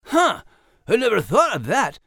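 A folksy little animal, co-hosting a story podcast. He banters with curiosity and wildly swinging pitch, recorded dry and close. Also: wildly swooping pitch, unhurried, vocal fry, a bit bright, husky, no echo, heavily acted. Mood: curious, folksy. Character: Max, folksy male animal podcast host. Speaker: podcast co-host. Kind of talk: scripted character banter.

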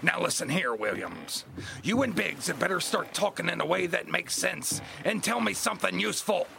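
Gruff bossy pirate captain, really gravelly, swings up and down, noisy ship sounds behind. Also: male voice, sits high, very animated, normal speed, sharp tone, heavily acted. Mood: menacing, demanding. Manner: gruff, commanding, threatening, sneering. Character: harsh, domineering male sea captain of a pirate crew. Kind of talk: audio drama acting.

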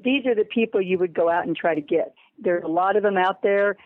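A woman's voice: medium pitch, fairly quick, a little hiss or hum. A woman being interviewed, explaining her program casually and emphatically. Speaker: interview guest. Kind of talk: casual spoken pitch for a program. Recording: tinny narrowband phone line.

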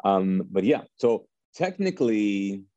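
A middle-aged man with a very low, mellow voice, from Kuwait, whose first language is Urdu. He tells his story animatedly and often restarts sentences. The sound is dry and slightly dull and lo-fi. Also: very animated, slow pace, dry recording. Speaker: pastor, guest. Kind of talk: casual storytelling interview.

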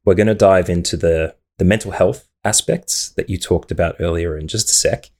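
A man hosts a podcast in a very low voice. He guides his guest with friendly, leading questions. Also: darkish tone. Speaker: podcast host. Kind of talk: podcast interview.

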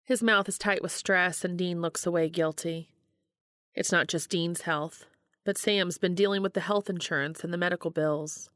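A woman reading a story aloud as scripted dramatic narration, with a creaky voice. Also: fairly low pitch, fairly even intonation, moderate pace, a bit bright, dry recording, studio quality. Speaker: audiobook-style reader of fan fiction.

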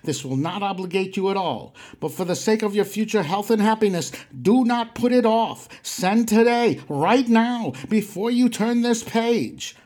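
A man's voice: high-pitched, measured pace, hardly any pauses, a little mellow. A man playing a boastful, exhorting 1920s mail-order muscleman, delivering a vintage advertisement. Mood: insistent selling. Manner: exhortatory, boastful huckster patter. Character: brash 1920s mail-order muscleman pitching body-building to weaklings.

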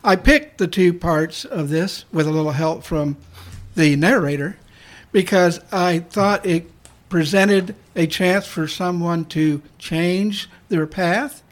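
A woman's voice: on the low side, takes it slowly. A woman who hosts a blues show, introducing herself casually on community radio.

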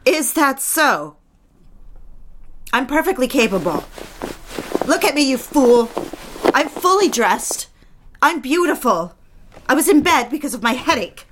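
Actress as bitter, high-voiced drunk young wife. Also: female voice, sing-song, a little slow, long pauses, noisy background. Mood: defiant, mocking. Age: young adult. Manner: haughty, mocking, sarcastic. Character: bitter, drunk, proud young married woman. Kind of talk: period audio drama acting.

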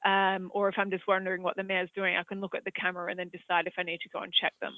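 A man's voice: sits high, completely flat delivery, very fast, no echo. A man with an Australian accent, an equine vet answering audience questions in a rambling, hesitant way full of fillers. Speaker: equine veterinarian. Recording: dry, tinny phone-like line.

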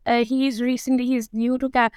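A woman's voice: a little high, flat delivery, normal speed, a little mellow, clear, resonant tone, no echo, studio quality. A woman in her thirties from Pakistan with a Pakistani accent, answering interview questions casually with many fillers and restarts. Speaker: guest, food blogger.

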